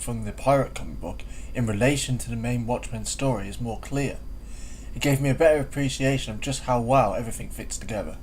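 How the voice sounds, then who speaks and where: A man delivers a semi-scripted review in a medium-pitched voice. There is faint noise in the recording behind his commentary. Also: a little mellow, poor audio.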